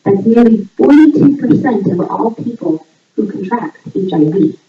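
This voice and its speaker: A woman hosting a trivia game in a scripted voice-over, reading questions and answers in a measured, item-by-item way. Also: expressive. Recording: echoey, distant, muffled low-fidelity playback. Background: voice audio played back in the room while filming.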